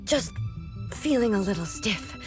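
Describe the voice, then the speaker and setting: Woman voicing a calm regal ruler. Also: mid-range voice, music in the background, natural voice. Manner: measured, formal, quietly dignified. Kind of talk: video game voice acting.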